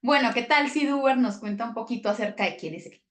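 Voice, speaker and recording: A woman's voice: on the high side, animated, fast. Echoey woman hosting a book talk. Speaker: host.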